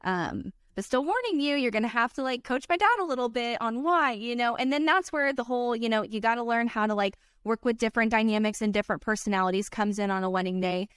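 A woman's voice: a little high, animated, quick pace, few pauses, fairly bright tone, clean tone, no room sound, clean studio sound. A woman wedding filmmaker, a podcast guest, talking in an earnest, chatty way full of "like" and "you know". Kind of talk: casual podcast chat.

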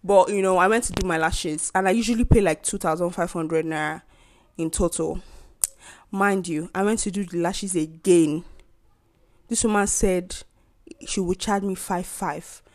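A young woman in her twenties with a Nigerian accent, hosting a solo podcast and venting in a casual, animated way about rude remarks and being overcharged. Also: fairly low pitch, moderate pace. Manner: animated, indignant storytelling with mocking quoted voices. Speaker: podcast host.

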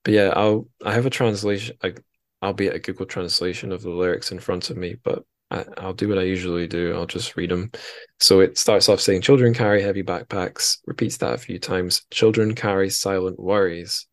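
Low-voiced man, casual podcast host. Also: steady tone, a little fast, no echo. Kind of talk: casual conversational book podcast.

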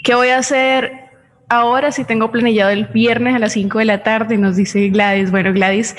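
A woman's voice: medium pitch, a little hiss or hum. Woman, Colombian accent, mid-range voice, live co-host, greetings and listener message read-outs. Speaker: co-host handling audience messages.

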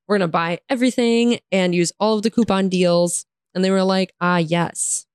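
A woman with an American accent and a hoarse, raspy voice, casually telling a story in slangy, sarcastic, exasperated style and mimicking dialogue. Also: mid-range voice, fairly even intonation, normal speed, dry recording, studio quality. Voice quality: raspy, tired voice. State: hoarse from talking all day. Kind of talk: casual chat, animated storytelling.

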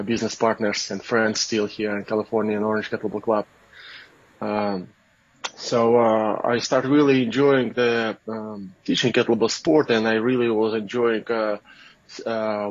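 Halting low-voiced Russian man, dull audio. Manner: halting, hesitant, searching for words. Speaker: guest, kettlebell athlete and coach. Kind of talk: interview answers, casual talk.

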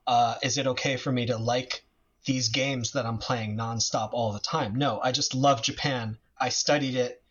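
Man from the US, casual guest, meandering and filler-heavy, mid-pitched, dull lo-fi sound. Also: steady tone, moderate pace, fairly bright tone, husky. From United States. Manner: rambling, loose, with many restarts and fillers. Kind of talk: casual political conversation. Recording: slightly dull lo-fi audio.

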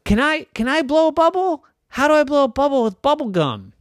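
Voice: sits high, very animated, a little fast, no echo, clean studio sound, pitched above the performer's own voice. An adult storyteller voicing an eager little girl who pleads and marvels in a bedtime story. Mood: eager, insistent.

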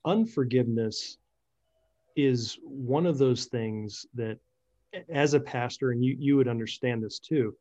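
A man hosts a podcast and talks as a pastor. He thinks aloud, restarting his sentences, in a mellow, darker voice. The recording sounds dull and slightly muffled. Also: medium pitch, measured pace. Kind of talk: conversational podcast interview.

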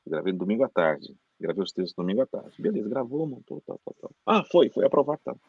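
A man's voice: on the low side, sing-song, fast talker, creaky, darkish tone, slightly rough, no echo. A Brazilian man, a voice-over announcer, telling stories as a guest in a casual interview, chatty and full of restarts. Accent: Brazilian Portuguese. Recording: dull dry lo-fi sound.

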